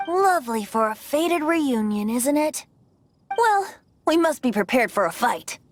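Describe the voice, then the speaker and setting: A woman's voice: high voice, big pitch swings, a little slow, slight background noise. A woman voicing a boyish, playful young male bard in an anime-style video game, airy and theatrical. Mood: playful, boastful.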